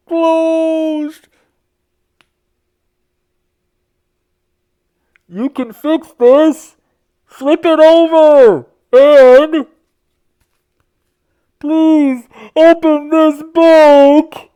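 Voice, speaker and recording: A woman putting on tiny storybook critters, squeaky and high, begging in a drawn-out, slow way with a mellow soft tone. they plead with the reader to reopen their book. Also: fairly even intonation.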